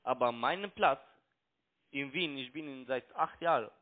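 A man's voice: medium pitch, normal speed. A young man with a foreign accent, arguing insistently and repetitively in broken, non-native speech over a telephone. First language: not German. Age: young adult. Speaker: phone scam caller. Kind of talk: argumentative phone conversation. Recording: tinny, narrow-band phone line.

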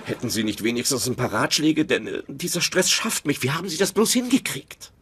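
An actor playing a stressed, sarcastic working-class husband in a dubbed sitcom, with a grumbling manner. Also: male voice, medium pitch, sing-song, fairly quick, very noisy, lightly acted. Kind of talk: German-dubbed sitcom acting.

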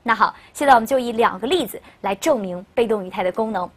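Young female language teacher, higher-pitched voice, explanatory studio presentation with stressed key phrases. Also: quick pace. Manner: explanatory, addressing learners, emphasising key phrases. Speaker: English teacher. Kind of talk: scripted-style lesson presentation to camera. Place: indoor studio.

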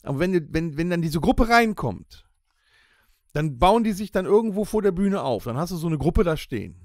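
A man chatting casually as a podcast host, animated and acting out little exclamations, close on the microphone. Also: high voice, normal speed, no room sound. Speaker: podcast host and band musician. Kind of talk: casual podcast banter about concert-goers. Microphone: close studio podcast microphone.

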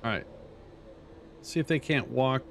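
An older man streaming a strategy game from his home, talking to himself in quiet, low-key asides. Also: unhurried, vocal fry, background noise. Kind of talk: casual let's play commentary. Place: home room, seated in a dark chair.

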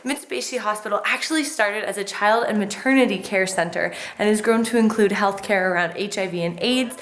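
A woman's voice: medium pitch, brisk, a bit bright. A young woman speaking to a handheld camera, explaining earnestly what the mission does. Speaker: team member on a learning trip. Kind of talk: informative vlog narration.

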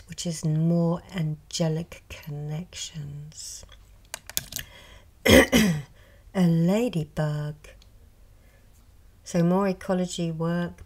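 A middle-aged woman, a reiki healer, speaking softly and slowly in a partly whispered show and tell, a little hoarse from a cold. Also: lowish voice. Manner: slow, soft, partly whispered. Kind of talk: soft-spoken ASMR show and tell. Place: indoors at a table. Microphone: close mic over a tabletop.

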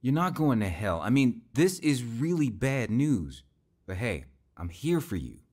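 Earnest friend, wildly swooping pitch. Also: male voice, sing-song, measured pace, darkish tone, breathy edge, exaggerated voice. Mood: supportive, urging. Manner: earnest, concerned. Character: loyal male church friend, shocked but supportive. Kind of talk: radio drama acting.

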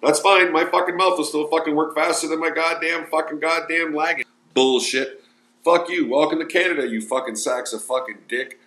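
A man's voice: on the high side, fairly even intonation, normal speed, pushed and bright. A man hosting a livestream, grumbling and ranting crudely with constant cursing. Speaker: livestream host. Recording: roomy echo with faint music.

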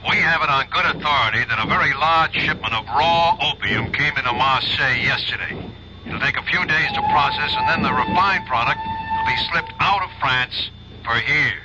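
An actor playing a brisk, authoritative chief of detectives in a 1970s radio drama. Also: male voice, on the high side, close to an everyday voice. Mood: brisk, businesslike. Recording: dull, faintly hissy 1970s radio recording.

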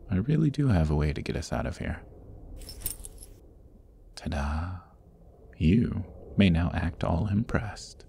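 A man performs a sardonic male thief stuck in a fantasy dungeon, in an ASMR roleplay. The voice is extremely low, gravelly and dark. He talks in a wry, teasing way and slips into whispered asides, over faint background noise. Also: heavily acted. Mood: playful, cocky. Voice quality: very deep, gravelly. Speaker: voice actor.

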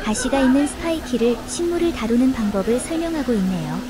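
A woman narrating a travel vlog in a calm, explanatory way, describing the sights as she walks. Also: high-pitched, fast talker, crowd noise, noisy background. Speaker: travel vlogger.

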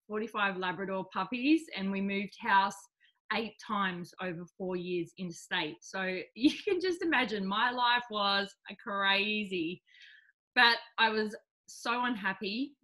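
A woman in her forties with a slightly croaky voice, chatting openly and self-deprecatingly about her life on a video call from a home office. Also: medium pitch, sharp tone, rough recording. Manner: open, chatty, self-deprecating, laughs easily. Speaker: guest speaker. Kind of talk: casual personal story on a video call. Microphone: laptop webcam on a video call.

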